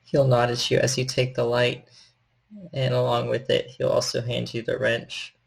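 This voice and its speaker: A man's voice: medium pitch, completely flat delivery, normal speed, gravelly, slightly rough. A man narrating a tabletop horror game as its storyteller and host.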